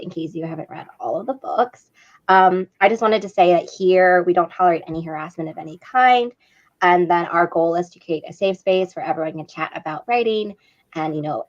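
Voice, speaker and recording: Woman panel host, dull lo-fi sound. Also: on the low side. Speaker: panel host, book reviewer. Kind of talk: casual panel introduction.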